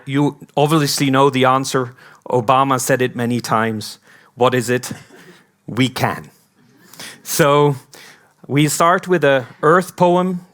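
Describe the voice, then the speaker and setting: Slow-speaking man giving a conference speech. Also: medium pitch, fairly bright tone. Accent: American. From Hong Kong. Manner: slow, rhetorical, repeating "what if" questions. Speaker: company founder giving an event talk.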